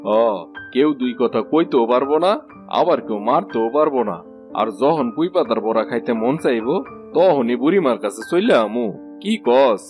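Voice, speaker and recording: A man playing a sentimental, soft-hearted village thief in a cartoon, with a rural Bangladeshi Bengali accent. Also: mid-range voice, animated, normal speed, lightly acted. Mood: resolved. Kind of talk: cartoon voice acting. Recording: music behind the voice.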